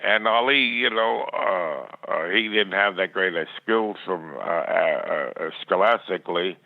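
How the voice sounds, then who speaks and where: Over a tinny, dry, phone-like line comes an older man with a lowish voice. He reminisces in a plainspoken, rambling way, stumbling and repeating words as he goes. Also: unhurried, creaky, dry recording. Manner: rambling, plainspoken, stammering repetitions. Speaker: podcast guest. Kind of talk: reminiscing in interview.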